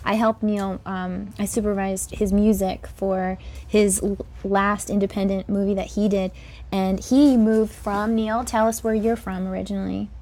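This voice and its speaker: A woman's voice: medium pitch, level tone, measured pace, mellow. A woman hosting a casual group podcast interview in an effusive, encouraging way, with her guests in the room. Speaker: podcast host. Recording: noisy room recording.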